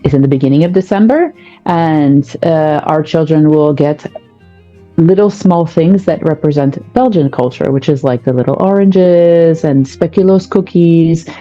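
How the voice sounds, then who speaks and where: A woman's voice: sits low, unhurried, mellow, lo-fi sound, faint music behind. A woman, a podcast guest, explaining family life in a reflective, thoughtful way. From United States. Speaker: guest, parenting coach. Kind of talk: podcast conversation.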